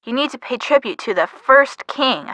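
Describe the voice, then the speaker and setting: A woman's voice: high voice, animated, moderate pace, pushed and bright, dry recording, exaggerated voice. A woman voicing a blunt, teasing young female friend in a video game, speaking casually and directly with a sardonic edge. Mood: direct, encouraging. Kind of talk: scripted video game dialogue.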